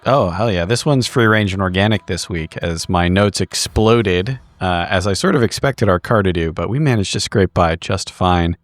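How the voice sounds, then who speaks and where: A man's voice: deep voice, normal speed, hardly any pauses, no room sound. A man with a wry, wordy, articulate way of talking, narrating like a tabletop roleplaying podcast player. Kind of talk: casual banter and narrated session recap. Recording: music behind the voice. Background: music.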